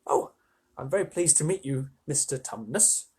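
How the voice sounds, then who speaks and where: A man's voice: medium pitch, lively intonation, normal speed, a little mellow, husky. A man voicing a polite, puzzled young girl who speaks simply and hesitantly in a storybook reading.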